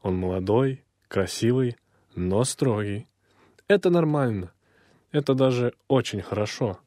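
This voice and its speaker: A man reading a textbook language lesson aloud, slowly and with careful, clear enunciation. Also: mid-range voice, lively intonation, dark tone, no echo, clean studio sound. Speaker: language course reader.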